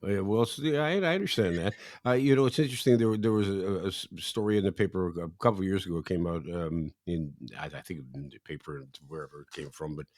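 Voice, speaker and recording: A man hosts a podcast interview in a low voice, recorded dry and close. He stumbles and restarts often as he frames his questions. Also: fast, hardly any pauses, darkish tone, no echo. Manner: hesitant, stammering restarts. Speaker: podcast host. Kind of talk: interview conversation.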